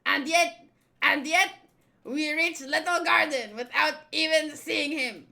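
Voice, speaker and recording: A woman's voice: high-pitched, slow pace, creaky, sharp tone, exaggerated voice. A woman voicing a flamboyant, hot-tempered male pirate villain from a manga, theatrical, indignant and commanding. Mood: demanding, exasperated.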